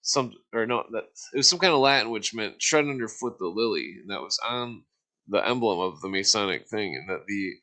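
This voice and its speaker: Male host, lowish voice, loose rambling explainer, halting delivery with many searches for the right word. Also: lively intonation, pushed and bright, poor audio. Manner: halting, searching for words, often losing his thread. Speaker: host explaining esoteric symbols. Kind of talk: casual rambling explanation in a livestream debate.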